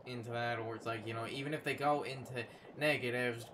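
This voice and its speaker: A man in casual co-commentary on a video game, explaining in a halting way with game music behind him. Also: mid-range voice, fairly even intonation, background noise. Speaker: let's play co-commentator. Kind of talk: casual gaming chat.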